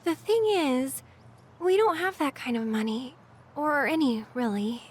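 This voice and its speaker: An actress playing a friendly young woman adventurer in a video game, polite and gently reassuring. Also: sits high, lively intonation, unhurried, a little hiss or hum. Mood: polite, hesitant. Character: friendly, kind young female adventurer from a city. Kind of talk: video game cutscene acting.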